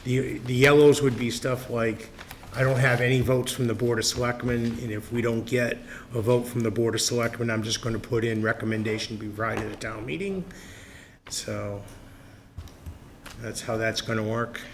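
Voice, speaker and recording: A man's voice: mid-range voice, fairly even intonation, normal speed. A man chairing a town finance committee meeting, chatty and thinking aloud. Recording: public access meeting recording with faint noise.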